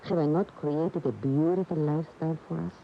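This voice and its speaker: A woman acting in a radio drama as a proud, exasperated lover of a famous bullfighter, emotive and reproachful, with deliberate pauses. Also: low voice, fairly even intonation, vocal fry. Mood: pleading reproach. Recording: muffled, hissy radio broadcast tape.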